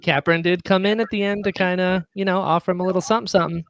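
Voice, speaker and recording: A man chatting casually as a podcast guest, animated and often doubling up short phrases. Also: high-pitched, rapid-fire, vocal fry. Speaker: podcast guest, audio drama maker. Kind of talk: casual chat.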